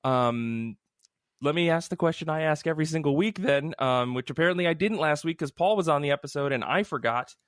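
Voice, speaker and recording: A man hosts a casual podcast conversation. His voice sits a little higher than average for a man. The sound is very dry, with no room echo. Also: fairly quick, a bit bright. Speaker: podcast host. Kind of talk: casual comic book podcast chat.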